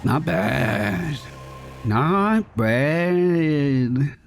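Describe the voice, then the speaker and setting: A man's voice: on the high side, animated, drawn-out words, gravelly, faint music behind. A man giving casual video game commentary, playfully thinking aloud and repeating his phrases. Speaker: gaming video host.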